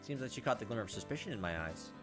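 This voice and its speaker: A man's voice: lowish voice, lively intonation, racing. A man hosting a let's play, reading game text aloud and adding casual, wry asides. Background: game music.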